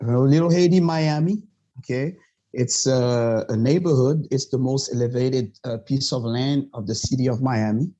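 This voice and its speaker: Interview guest, man, French-speaking Montreal native; mellow voice, muffled lo-fi audio, passionate but halting. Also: mid-range voice. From Montreal, Canada. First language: Quebec French. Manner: halting, many fillers, passionate. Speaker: podcast guest. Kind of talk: casual interview talk.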